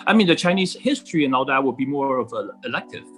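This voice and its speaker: A middle-aged man from Taiwan speaking as a podcast guest in casual conversation, earnest and halting, rephrasing as he goes. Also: medium pitch, lively intonation, a bit bright, soft background music.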